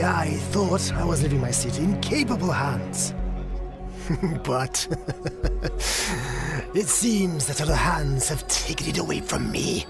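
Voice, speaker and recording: A slow-talking, unhinged clown crime boss in a motion comic. His fairly high voice swoops widely, halting and mock-polite with open menace. Music plays behind him. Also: creaky, full character voice.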